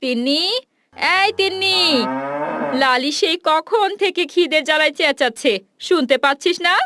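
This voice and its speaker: A woman's voice: high voice, moderate pace, pushed and bright, no room sound. A woman voicing a nagging, exasperated village housewife and mother in a cartoon fairy tale, scolding and complaining. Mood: impatient.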